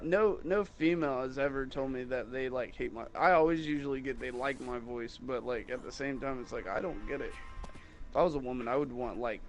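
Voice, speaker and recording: A man's voice: a little fast, faint music behind. A man co-hosting a casual chat, rambling with many restarts and fillers. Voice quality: higher-pitched voice, in his own view.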